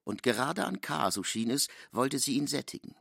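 A man's voice: mid-range voice, expressive, normal speed, gravelly, fairly bright tone, husky, dry recording, studio quality. A man narrating literary fiction from a script for an audio drama.

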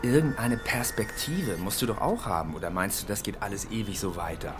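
An actor playing a smooth-talking, needling office boss in a radio drama, ironic and insinuating as he prods a colleague. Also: on the low side, lively intonation, a little fast, music in the background, lightly acted. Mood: persuasive.

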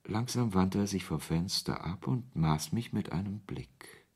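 An actor playing a wry author who narrates a radio play in the first person, in a husky voice. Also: male voice, sits low, unhurried, a little mellow. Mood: wry narration. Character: male author narrating his absurd encounter, wry and irritated.

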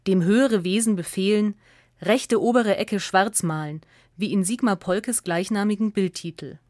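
A woman from narrates a scripted radio essay in a measured, clearly articulated way. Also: medium pitch, darkish tone, no room sound, clean studio sound.

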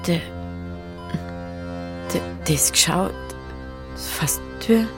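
A woman's voice: lowish voice, drawn-out words, over music. An actress playing an affectionate, teasing, plain-spoken young woman with a Bavarian accent in a radio drama. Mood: unsettled.

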